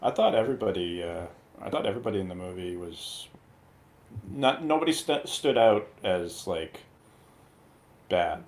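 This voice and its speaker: A man speaking as a guest reviewer in casual, somewhat halting chat. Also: low voice, lively intonation, darkish tone.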